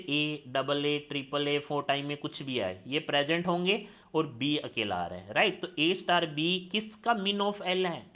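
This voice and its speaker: A man's voice: fairly high pitch, pushed and bright. Man teaching with quizzing questions. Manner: calls students "children" and checks they follow; steps through examples, asking and answering his own questions. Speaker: lecturer. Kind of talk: classroom lecture.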